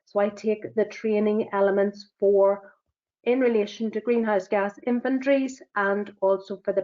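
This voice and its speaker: A middle-aged woman with a Northern Irish accent presenting a business webinar, talking quickly with frequent fillers. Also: medium pitch, a little mellow. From Northern Ireland. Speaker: sustainability assessor and webinar presenter. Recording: dull, lo-fi webinar audio.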